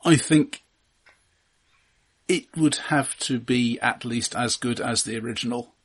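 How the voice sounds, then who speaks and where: A man co-hosting a podcast in casual film discussion, explaining things in a halting, analytical way. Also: medium pitch, husky. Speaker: podcast co-host.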